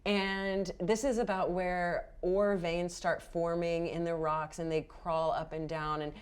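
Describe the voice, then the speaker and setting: A woman's voice: lowish voice, level tone, measured pace, clear, resonant tone. A woman narrating as game master of a roleplaying game, casual and encouraging in an improvised, conversational way.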